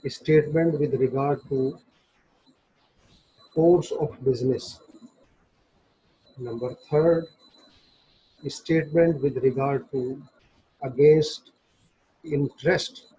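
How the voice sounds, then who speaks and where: A man's voice: fairly high pitch, very slow, long pauses, mellow, breathy edge. A man giving an explanatory law lecture, methodically setting out points in short separate phrases and switching between Hindi and English. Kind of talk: explanatory lecture, reading and explaining legal text. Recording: dull, muffled lo-fi recording.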